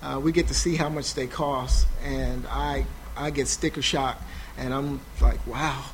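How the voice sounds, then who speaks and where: A man speaking on a panel about his son's schooling, halting with many fillers and restarts. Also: mid-range voice, fairly even intonation, slight background noise, poor audio. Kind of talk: personal testimony at a panel. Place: small intimate room. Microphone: no PA, recorded for a podcast.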